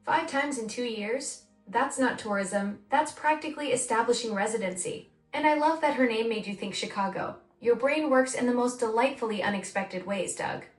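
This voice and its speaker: A woman's voice: a little high, moderate pace, few pauses, distant mic, faint music behind. A synthetic woman's voice acting as an AI assistant in casual conversation, eager and full of praise. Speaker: AI voice assistant co-host. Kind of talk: conversational chat. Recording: roomy voice from off the mic.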